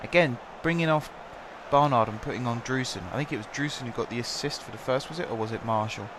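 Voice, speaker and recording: A man's voice: mid-range voice, fast, background noise. A young man with a sore throat giving rambling, chatty commentary over a football management game. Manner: chatty, rambling, filler-heavy. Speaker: gaming commentator.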